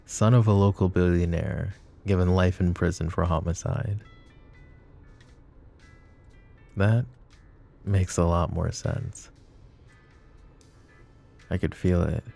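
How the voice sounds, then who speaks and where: Mafia boss, played by a male actor: very low, dark, coaxing and possessive, for intimate ASMR roleplay. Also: steady tone, soft background music. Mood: quiet, probing, then gentle, intense. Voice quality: low, gravelly murmur. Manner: deliberate, coaxing, philosophizing.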